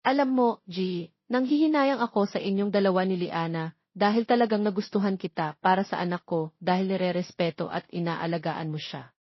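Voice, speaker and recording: A woman's voice: fairly low pitch, brisk, no echo, close to an everyday voice. Casting: woman playing stern, wounded middle-aged mother for audio drama; emotional, firm, protective, dry muffled sound. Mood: hurt, firm.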